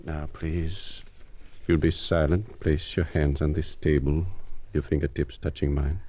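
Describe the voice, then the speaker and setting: An actor in a 1940s radio mystery playing a solemn, commanding fake spirit medium with a portentous manner. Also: male voice, very deep voice, steady tone, a little slow, mellow.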